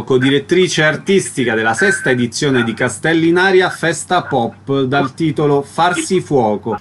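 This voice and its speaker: A man's voice: mid-range voice, noisy background. A man hosting a radio show, putting questions to guests and announcing cultural programmes.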